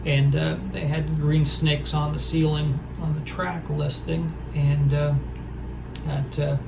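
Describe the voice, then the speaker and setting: Hoarse man, raspy and gravelly, halting delivery, noisy recording. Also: fairly high pitch, level tone. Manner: halting, hesitant, full of pauses. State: hoarse from a throat injury. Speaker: record collector vlogger. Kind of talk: casual record haul show-and-tell.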